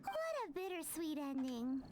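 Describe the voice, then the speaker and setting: A woman voicing a small childlike fairy companion in a video game, excitable and cheeky, with cutesy exclamations. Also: high voice, moderate pace, close to an everyday voice. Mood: cheeky, confident. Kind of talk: video game character acting.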